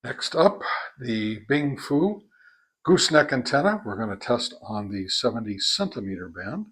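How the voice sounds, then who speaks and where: A man with an American accent and a mid-range voice hosts a hands-on review. He speaks in a plain, folksy, somewhat halting manner. The sound is dry, with very little room around the voice. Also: medium pitch, lively intonation, fairly bright tone, husky, no echo. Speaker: host of a ham radio gear review channel. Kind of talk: casual hands-on product review talk.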